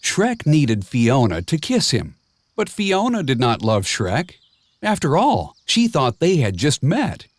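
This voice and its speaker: A man reading a children's storybook aloud in a measured, expressive storytelling manner, with clear and simple phrasing. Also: medium pitch, no echo, studio quality. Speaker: storybook narrator. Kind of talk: scripted children's read-along storybook narration.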